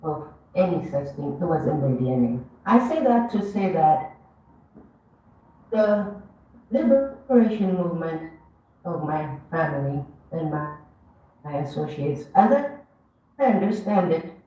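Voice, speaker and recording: A speaker from Ethiopia giving an earnest, measured commemorative speech at an event, lifting their voice on key words. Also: mid-range voice, expressive, mellow. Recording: dull, echoey hall, mic far off.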